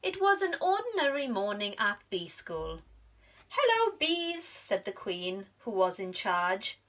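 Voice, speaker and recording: A woman reading a children's story aloud like a primary school teacher, with expressive, dramatic emphasis. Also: medium pitch, a little slow. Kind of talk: animated storybook narration for young children.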